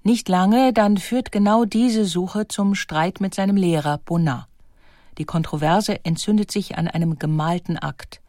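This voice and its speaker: Measured female narrator, dry recording. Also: lowish voice, warm tone, dry recording. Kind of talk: scripted documentary audiobook narration.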